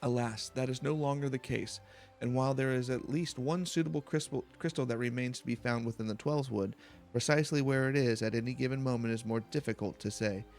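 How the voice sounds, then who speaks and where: A man's voice: medium pitch, fairly quick, a little mellow, soft background music, natural voice. A man voicing a polite, long-winded academic researcher from a fantasy game, formal and pedantic. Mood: formal, explanatory.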